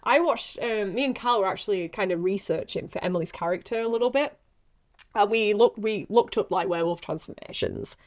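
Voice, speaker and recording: A woman's voice: medium pitch, a little fast. A young woman, an actress, chatting casually on a podcast in a bubbly, giggly, informal way. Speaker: actress being interviewed.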